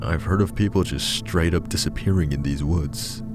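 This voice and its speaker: A man's voice: a bass voice, normal speed, voice pushed up. A man voicing a worried, chatty young male hiker in a horror story. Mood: nervous. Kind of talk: horror story character narration. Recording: music behind the voice.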